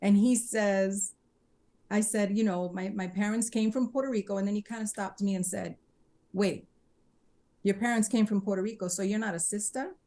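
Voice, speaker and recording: This is a woman from the Bronx, somewhere in her fifties, talking as a guest on a podcast. Her voice sits at an ordinary middle pitch. She rambles easily, piles on fillers and slips into quoting people as she tells a story. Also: moderate pace. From Bronx, New York. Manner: chatty, animated retelling with quoted dialogue. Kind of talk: casual interview storytelling.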